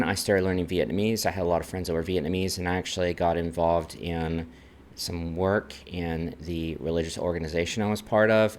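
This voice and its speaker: Deep-voiced American man. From Texas, United States. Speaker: vlogger. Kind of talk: personal talk to camera, numbered list.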